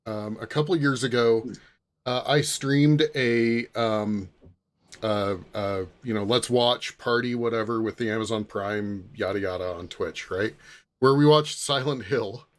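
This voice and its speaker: A man chatting casually on a podcast panel, halting and repeating words as he goes. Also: lowish voice.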